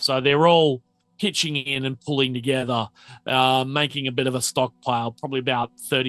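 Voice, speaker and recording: A man narrating a tabletop roleplaying game as game master, haltingly piecing the scene together aloud. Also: medium pitch, sharp tone, no echo.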